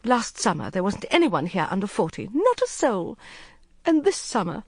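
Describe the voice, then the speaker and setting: Female narrator does a teary, high-strung young woman, pitched way up, dry close audiobook sound. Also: big pitch swings, moderate pace, slightly rough, no echo. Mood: agitated. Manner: high, tearful, pleading. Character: distressed young woman, emotional and restless.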